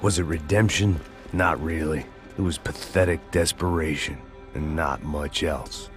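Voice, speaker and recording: An actor playing a weary, self-loathing middle-aged ex-cop, narrating a noir game in a sardonic, deadpan American voice. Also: male voice, very deep voice, unhurried. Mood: weary, self-mocking. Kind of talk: scripted noir voice-over narration. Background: loud music.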